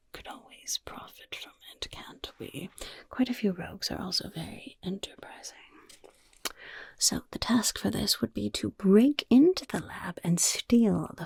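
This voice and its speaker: A woman voicing a sly, gold-loving female goblin rogue in a whispered ASMR fantasy roleplay, breathy and coy, with rambling asides. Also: medium pitch, no room sound, exaggerated voice. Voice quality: breathy, husky, crackly whisper. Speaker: roleplay quest-giver for a rogues' league.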